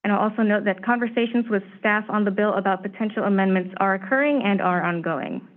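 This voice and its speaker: A woman staff presenter giving a formal, measured briefing on proposed legislation, her voice slightly hoarse. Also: mid-range voice. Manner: steady, measured, reads prepared remarks. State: slightly hoarse, clearing throat. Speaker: staff presenter at a commission meeting. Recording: tinny phone-line audio.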